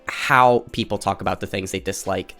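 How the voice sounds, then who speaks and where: A man reading a scripted critique video in a measured, careful way, sounding nasal while recovering from an illness. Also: sits low, steady tone, bright, forceful tone, faint music behind. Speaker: video essayist. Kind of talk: scripted commentary.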